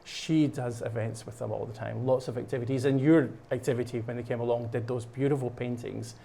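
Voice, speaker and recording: A man's voice: medium pitch, fairly even intonation, a little fast, gravelly, dark tone. Scottish man chatting with many fillers. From Scotland. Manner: rambling, many fillers and restarts. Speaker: guest. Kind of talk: casual chat. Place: studio while painting.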